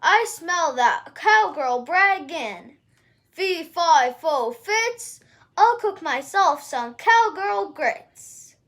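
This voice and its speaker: A woman acts out a huge, mean storybook giant who loves to bluster. The voice is high for a giant and swings widely in pitch. Threats come out slow, loud and chanted. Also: animated, heavily acted. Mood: menacing. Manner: booming chant, drawn-out shouted threats.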